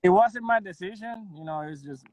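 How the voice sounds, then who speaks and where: A man in his thirties from Puerto Rico, a guest audio engineer chatting in a relaxed, modest way with soft laughs and lots of "you know". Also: sits high, brisk, no echo. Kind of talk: casual interview chat.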